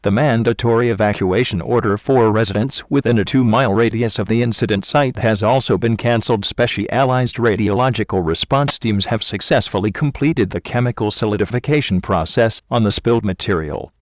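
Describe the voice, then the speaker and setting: A man's voice: fairly low pitch, brisk, few pauses, dry recording, studio quality. A man with a synthetic-sounding, formal and impersonal delivery, reading a scripted official emergency broadcast announcement. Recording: dull lo-fi alert audio.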